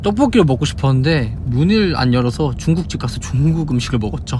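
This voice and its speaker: A man's voice: mid-range voice, fast. A young man from Busan with a Busan Korean accent, chatting casually in a livestream, answering fan questions and demonstrating dialect phrases. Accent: Busan Gyeongsang Korean. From Busan, South Korea. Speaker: idol livestream host. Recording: steady car noise behind.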